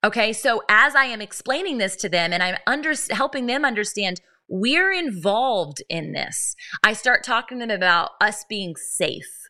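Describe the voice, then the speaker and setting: Bright-voiced woman podcast host. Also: medium pitch, normal speed, hardly any pauses. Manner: earnest, animated storytelling with many restarts. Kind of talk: faith podcast monologue. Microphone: podcast microphone, live stream.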